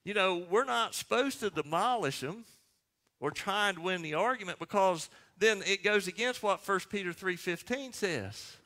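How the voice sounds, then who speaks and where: A man's voice: high-pitched, expressive, normal speed, bright, forceful tone. A man in his sixties preaching a sermon as pastor, speaking emphatically and posing questions that he answers himself. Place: church sanctuary.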